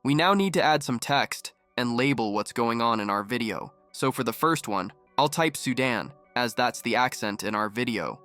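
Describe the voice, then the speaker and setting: A man narrating a screen-recording tutorial, giving steady step-by-step instructions as the channel's host. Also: mid-range voice, moderate pace, few pauses, faint music behind.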